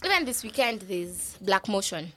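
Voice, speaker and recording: Kenyan woman telling a lively story. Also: mid-range voice, moderate pace. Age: 20s. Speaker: podcast host. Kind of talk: casual chat. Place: podcast studio.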